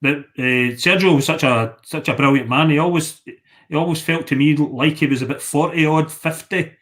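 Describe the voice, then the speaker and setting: A man's voice: on the high side, moderate pace, fairly bright tone. A Scottish man chatting casually as co-host of a chat show.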